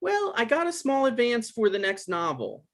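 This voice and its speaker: A man acting an evasive, awkward novelist in a comedy-drama table read, halting and defensive, with stammers. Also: very high voice, big pitch swings, fairly bright tone, heavily acted. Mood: sheepish. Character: Michael, evasive, awkward novelist visiting his ex.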